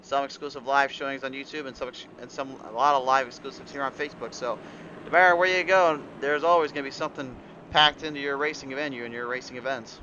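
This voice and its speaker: Guy doing live race commentary, kinda sick, voice cutting out, sharp bright tone, rambly. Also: medium pitch, steady tone, fast talker, muffled recording, a little hiss or hum. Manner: rambling play-by-play with restarts and fillers. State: under the weather, voice cutting out. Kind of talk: live sim racing commentary.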